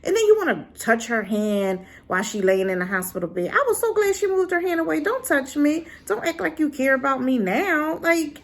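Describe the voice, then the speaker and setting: A divorced adult woman giving a sassy, animated casual review straight to her viewers. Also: sits high, moderate pace, few pauses. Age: adult, been married and divorced. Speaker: drama reviewer.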